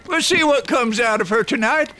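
A man's voice: very high voice, moderate pace, bright, forceful tone. A man playing an easygoing, folksy frontier fiddler in a radio drama. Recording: faint background noise.

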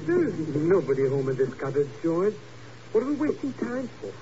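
An actor playing a nervous, excitable, rather dim comic sidekick in a 1950s radio drama. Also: male voice, fairly high pitch, normal speed. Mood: bewildered. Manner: bewildered, malapropism-prone. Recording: muffled, hissy old radio recording.

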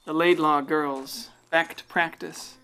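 A woman's voice: low voice, steady tone, slow pace, fairly bright tone, a little hiss or hum, heavily acted. An actress playing a folksy narrator in a radio play, musing and conversational as she watches over a town. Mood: folksy, confiding. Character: folksy storyteller watching over a river town's generations. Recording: faint music behind voice.

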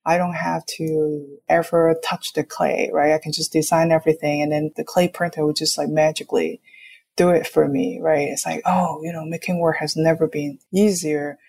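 Young woman from hong kong, pretty low voice, artist chatting in an interview, explains stuff and keeps checking in. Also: little pitch movement, normal speed, creaky, a little mellow, husky. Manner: explanatory, often checks with "right?". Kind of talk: conversational interview, reflective.

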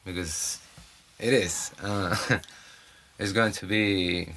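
Deep, slow Brazilian man rambling. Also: fairly even intonation, bright, forceful tone. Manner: rambling, halting, with many fillers and restarts. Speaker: podcast host. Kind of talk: casual solo podcast monologue. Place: off-grid home in the mountains. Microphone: cell phone.

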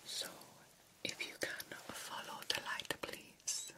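A woman playing a formal, caring vampire doctor in an ASMR roleplay, whispering softly and reassuringly. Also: slow pace, lightly acted. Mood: soothing, hushed. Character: formal, caring vampire doctor examining a young bat fledgling.

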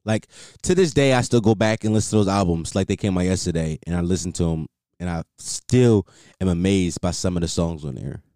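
A man's voice: low-pitched, brisk, warm tone, dry recording. Rambling young man on a dry mic. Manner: rambling, repeats himself, frequent "like" fillers. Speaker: podcast host. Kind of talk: casual solo podcast talk.

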